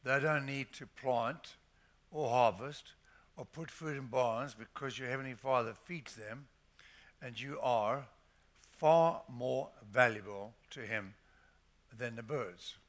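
An older man preaching a sermon to his church, stressing scripture phrases slowly and emphatically. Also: mid-range voice. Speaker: pastor.

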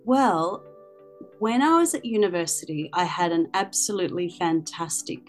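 A woman in her fifties talks through her teaching career with a chatty, storytelling manner. Her voice sits a little low. Soft music plays quietly behind her. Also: lively intonation, normal speed. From Australia. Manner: chatty, storytelling with many ums. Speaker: guest, language teacher and author. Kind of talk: interview answers.

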